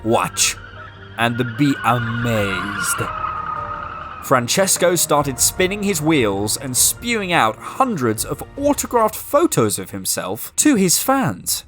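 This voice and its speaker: A man narrates a children's storybook at an unhurried pace. His pitch moves up and down in a lively way, and music plays clearly behind him.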